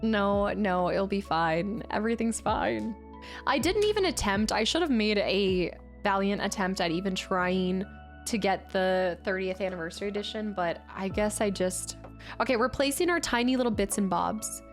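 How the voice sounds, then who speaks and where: A woman's voice: mid-range voice, normal speed. A woman hosting a casual live stream, chatting to herself and viewers as she works, sounding slightly congested. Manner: chatty, murmuring to self and replying to viewers. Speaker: livestream host. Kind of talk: casual live stream chat while building. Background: music.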